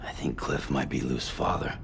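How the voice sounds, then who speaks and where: Very deep, gravelly, weary man. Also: moderate pace. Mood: pensive. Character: weary, taciturn man puzzling over a mystery. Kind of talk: understated video game cutscene acting.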